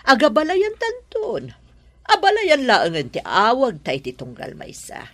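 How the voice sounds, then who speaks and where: A woman with an Ilocano accent acting as a gracious, well-off middle-aged mother in a radio drama, her voice husky. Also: high voice, wildly swooping pitch, unhurried, heavily acted. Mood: friendly.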